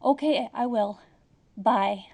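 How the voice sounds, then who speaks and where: An actress plays a long-suffering housewife in a comic audio play. She is anxious and deferential, with a fairly high, dark-toned voice full of creak. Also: slow. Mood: worried. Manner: fretful, meek. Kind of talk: comedic audio drama acting.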